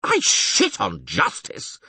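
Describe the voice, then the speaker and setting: A man voicing a blustering, panicky middle-aged male town mayor in a fantasy audiobook, shouting and frantic. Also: medium pitch, huge pitch swings, normal speed, husky, dry recording, pitched above the performer's own voice, exaggerated voice. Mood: frantic, furious.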